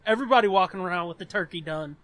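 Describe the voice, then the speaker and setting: A man's voice: high-pitched, rapid-fire, a bit bright, dry recording. A man co-hosting a podcast in casual banter, joking bluntly and swearing freely. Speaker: podcast co-host. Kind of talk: casual banter, answering listener questions.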